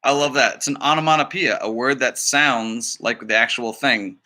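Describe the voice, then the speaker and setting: A man speaking as a storytime librarian, giving expressive, explanatory chatty asides during a children's picture book read-aloud. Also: medium pitch, moderate pace.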